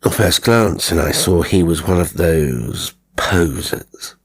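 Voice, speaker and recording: A man voicing an earnest, puzzled British gentleman writer in an audiobook, with slow, halting, emphatic old-fashioned speech. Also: low voice, expressive, slightly rough, exaggerated voice. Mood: puzzled, indignant.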